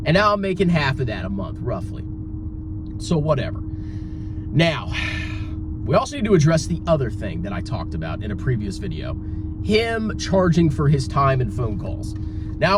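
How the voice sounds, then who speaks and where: Man, higher-pitched voice, very lively intonation, blunt, combative, emphatic, finance vlog commentary, noticeable background noise. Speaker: personal finance YouTuber. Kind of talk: opinion monologue to viewers.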